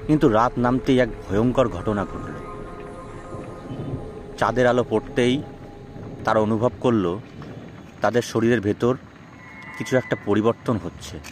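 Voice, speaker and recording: A man's voice: mid-range voice, a little slow, long pauses, slight background noise. A man narrating a suspense horror story in a dramatic storytelling style. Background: background music.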